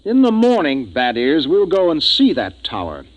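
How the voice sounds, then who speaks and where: An actor playing a gruff, decisive spaceship captain in a 1950s radio drama, narrating and giving orders. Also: male voice, a little high, huge pitch swings, normal speed. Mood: determined. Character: gruff, decisive male spaceship captain, troubled but in command. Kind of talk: 1950s radio acting with first-person narration. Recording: dull 1950s radio recording, music behind.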